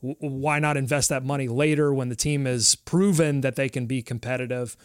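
A man's voice: medium pitch, fairly even intonation, a little fast, clean tone, no room sound, clean studio sound. A man co-hosting a sports podcast in casual discussion, conversationally thinking aloud as he talks.

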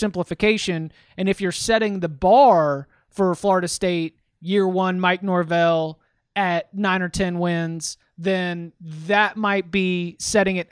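A man's voice: high-pitched, clear, resonant tone, no echo, studio quality. A man hosting a sports podcast, talking things through casually and often restarting his words. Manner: rambling, repeats words while thinking.

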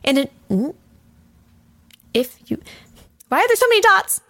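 A woman's voice: extremely high pitch, very animated, measured pace, a bit bright. A woman host reading show announcements who keeps breaking into giggles. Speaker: podcast host reading the outro. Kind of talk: reading announcements, blooper takes.

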